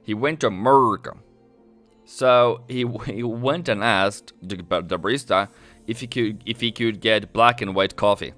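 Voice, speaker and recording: A man's voice: on the low side, measured pace, fairly bright tone, soft background music. A man from Norway chatting casually on a live trading stream. He rambles, laughs often and restarts his sentences a lot. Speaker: forex trader on a live stream.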